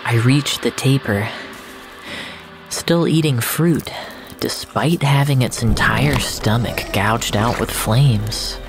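A narrator of medium pitch reads dramatic first-person fiction. The delivery is very slow and deliberate, with room between phrases. Loud music plays all through under the voice. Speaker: narrator of a surreal fiction story.

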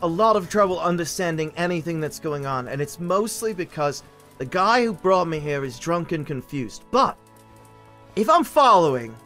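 A man playing a flippant, confused pirate-film character in an improvised comedy fandub, halting with dry asides. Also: on the high side, expressive, pushed and bright, soft background music. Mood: confused, wry.

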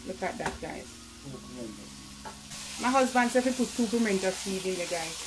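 A woman's voice: mid-range voice, moderate pace. A woman with a Jamaican accent, casually talking viewers through cooking in her home kitchen, calling out to them often. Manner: chatty, keeps calling to viewers. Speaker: cooking vlogger. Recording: sizzling pan and kitchen noise behind.

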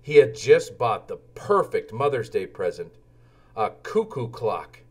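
Slow, very expressive man reading storybooks. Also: medium pitch. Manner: deliberate, expressive storytelling for young children. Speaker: children's book narrator. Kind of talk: animated read-aloud storybook narration.

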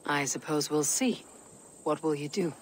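An actress playing a steely, calculating Viking warrior woman in a video game, speaking in a terse, commanding way with a husky low voice. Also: a little slow, slight background noise. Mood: measured, determined. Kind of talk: video game cutscene acting.

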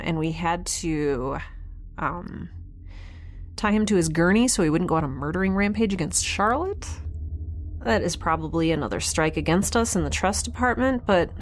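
An actress playing a candid young woman scientist in a sci-fi audio drama, recording a rambling, hesitant log with dry wit. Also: lowish voice. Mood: thoughtful, uneasy. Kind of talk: audio diary narration in scripted drama.

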